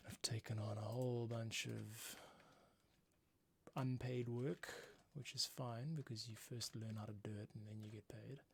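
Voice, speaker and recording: A man's voice: medium pitch, steady tone, a little slow, vocal fry, husky. A man hosting a livestream, casually thinking aloud as he works. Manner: laid-back, thinking aloud. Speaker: livestream host, composer. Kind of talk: casual work-along commentary.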